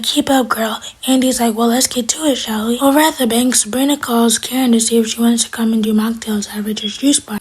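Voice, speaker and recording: Fast whispering woman. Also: on the high side, breathy edge, rough recording. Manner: whispering throughout. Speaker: TV episode recapper. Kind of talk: whispered episode recap.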